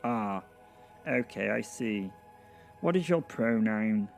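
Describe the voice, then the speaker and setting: A man voicing a flustered, sleepy little clerk at heaven's gate in an audio drama, halting and polite as if reciting by rote. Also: fairly low pitch, animated, gravelly, soft background music, full character voice. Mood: strained politeness.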